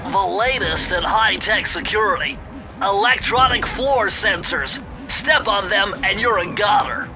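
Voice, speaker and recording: A nervous, brainy turtle explains gadgets in careful, wordy sentences. His voice sits very high. Game music plays clearly underneath. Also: unhurried. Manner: wordy, precise, fussy, explanatory. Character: brainy nervous male turtle tech expert.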